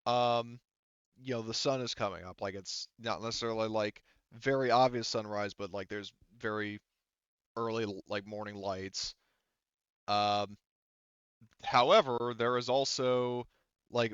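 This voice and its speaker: A man narrates a tabletop game as its game master. His voice is smooth, at an average pitch, and he feels his way through sentences conversationally. The sound is dry and slightly muffled. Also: a bit bright, no echo. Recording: slightly dull close dry mic.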